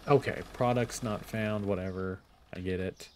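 Chill guy w low voice narrating his gameplay, slow and easygoing, faint hiss behind. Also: fairly even intonation. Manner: laid-back, muttering to himself. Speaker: gameplay video host. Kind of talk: casual let's play commentary.

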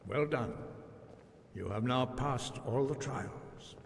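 An actor playing a solemn old monk master in a fantasy video game, speaking slowly, formally and with measured gravity. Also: male voice, mid-range voice, creaky. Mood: solemn approval. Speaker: game character, mentor. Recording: echoing stone hall, noisy background ambience.

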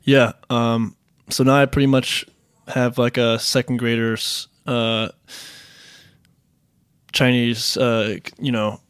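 A man in his thirties from Queens, answering interview questions in a relaxed, halting way with many fillers. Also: medium pitch, clear, resonant tone, no room sound, studio quality. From Queens, New York. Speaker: restaurant managing partner, guest.

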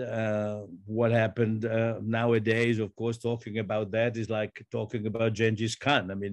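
Low-voiced elderly man. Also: darkish tone, no room sound. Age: 70s or older. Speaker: poet and curator. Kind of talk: introducing and reading own poetry. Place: book-lined study, indoors. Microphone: computer mic on video call.

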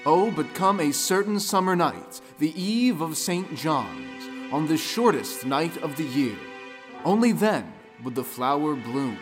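Excitable storyteller, man, highish voice, slow dramatic emphasis, theatrical folktale narration. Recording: music behind the voice. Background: music.

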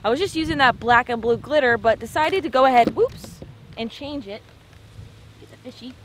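A woman's voice: fairly high pitch, animated, normal speed, a little hiss or hum. A young American woman casually narrating a fishing vlog in an informal, slangy way from a small boat outdoors. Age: young adult. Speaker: fishing show host.

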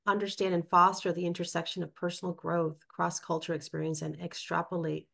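A woman's voice: fairly low pitch, level tone, a little fast, creaky, fairly bright tone. A woman from New York, a former principal, co-hosting a webinar over a video call and reflecting aloud while reading chat comments. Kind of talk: conversational webinar discussion.

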